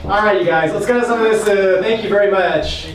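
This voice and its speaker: A high-voiced man leads a community panel in an upbeat, encouraging way. He sounds distant in a hugely echoing hall, with a crowd murmuring around him. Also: a little fast. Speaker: event moderator.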